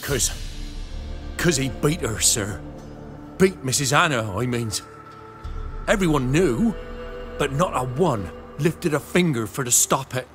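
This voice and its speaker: A man voicing a humble, wary peasant in a video game, with a rural English accent and a halting, deferential manner. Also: medium pitch, lively intonation. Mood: uneasy. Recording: loud music bed.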